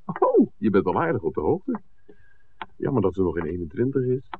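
A man's voice: on the low side, wildly swooping pitch, normal speed. An actor playing a worldly, principled man with a wry, bantering way, teasing his friend in a 1960s Dutch radio drama. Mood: wry, resigned. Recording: muffled, noisy old radio drama recording.

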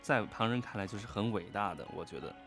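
A man's voice: on the low side, lively intonation, fast, over music. A man chatting casually as a livestream guest, addressing listeners directly with questions. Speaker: guest on an audio drama livestream.

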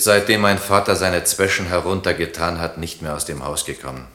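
A man's voice: sits low, sharp tone. An actor in a German radio play playing a plain-spoken member of a craftsman's household, simple and matter-of-fact. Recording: roomy, echoing sound.

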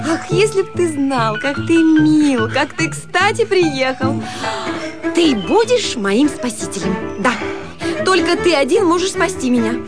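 A woman's voice: high-pitched, animated, measured pace, over loud music. An actress playing a flighty young wife in a radio drama, effusive and cajoling, drawing out her words theatrically. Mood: pleading, cajoling. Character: gushing, flighty, coaxing young wife at a summer cottage.

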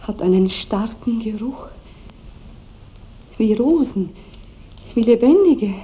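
A woman's voice: a little high, lively intonation, slow pace. A woman playing an innocent, very young noble bride in a radio play, girlish and fervent. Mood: hushed rapture. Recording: muffled, echoey 1960s radio with noise.

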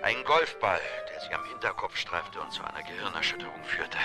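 An actor in a radio drama playing a smooth, scheming, condescending man with a measured, insinuating manner. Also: sits low, animated. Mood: dry, formal. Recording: music or ambient noise behind.

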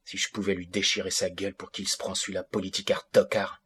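A man voicing a bitter, husky teenage street kid. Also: low-pitched, level tone, fast, pushed and bright, exaggerated voice. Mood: bitter, agitated. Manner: street slang, bitter and agitated, rising outbursts. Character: angry fifteen-year-old boy from the housing projects. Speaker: audiobook reader. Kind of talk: first-person audiobook monologue.

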